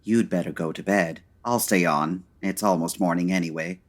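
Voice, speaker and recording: An actor playing a suave, unflappable gentleman detective in an old-time radio style comedy, with dry, urbane, formal wording. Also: low voice, normal speed, natural voice. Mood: genial.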